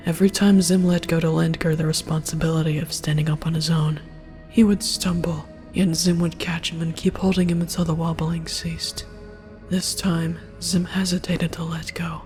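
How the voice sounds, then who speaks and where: A narrator reading a first-person story aloud in a measured, hushed, breathy delivery. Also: mid-range voice. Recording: music under the voice.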